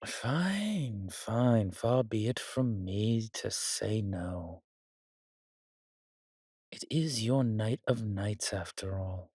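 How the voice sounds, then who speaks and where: Man voicing a husky, courtly vampire seducer. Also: on the low side, slow pace, dark tone, no room sound, studio quality. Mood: indulgent, teasing. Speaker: voice actor in an audio drama. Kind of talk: intimate romantic audio roleplay addressed to the listener.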